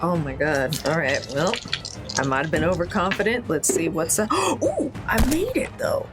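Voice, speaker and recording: Low-voiced woman at a game table. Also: very animated, normal speed. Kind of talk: casual game-table chat. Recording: music behind the voice.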